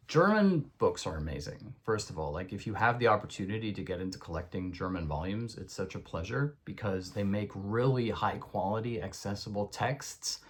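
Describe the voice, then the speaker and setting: Guy w a lowish voice rambling happily about his books at home, lots of ums and likes. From born in the United States, raised in Europe. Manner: chatty, enthusiastic, many fillers and asides. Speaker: video creator giving a bookshelf tour. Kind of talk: casual spoken vlog.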